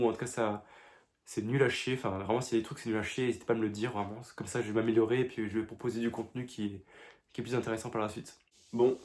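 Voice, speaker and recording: A man's voice: fairly low pitch, darkish tone. A young man talking casually to his phone camera, rambling with many fillers and restarts, stuffy from a cold. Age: young adult. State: congested with a cold. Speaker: vlogger. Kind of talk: casual vlog diary. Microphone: phone held facing him.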